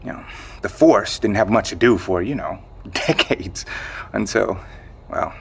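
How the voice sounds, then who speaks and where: A man playing a wry, measured storyteller in an audio drama, with a gravelly voice and suspenseful pauses. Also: lowish voice, expressive, full character voice. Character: measured man recounting a secret legend, wry.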